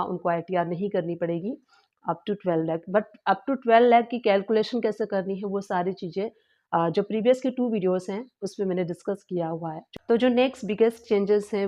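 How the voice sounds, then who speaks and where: A woman talks through tax changes like an informal lesson, her pitch sitting in a middle range. She explains step by step, hesitating often and circling back over her points. The recording sounds a little dull and lo-fi. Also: dark tone. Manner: explanatory, repetitive, hesitant with frequent fillers. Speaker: channel host explaining tax news. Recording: slightly dull lo-fi home recording.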